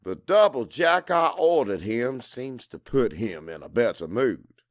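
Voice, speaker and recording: Man, first-person fiction narration, unhurried, highly varied intonation, expressive, deliberate. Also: no echo, clean studio sound. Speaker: audiobook narrator.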